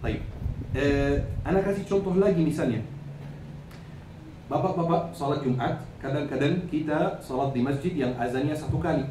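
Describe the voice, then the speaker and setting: A man's voice: fairly high pitch, normal speed. A man with an Arabic accent, a native Arabic speaker, giving an Islamic lecture in a didactic way, repeating phrases and answering his own questions. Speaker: Islamic preacher. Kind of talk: religious lecture, teaching with questions to listeners. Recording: echoey, noisy room, distant microphone.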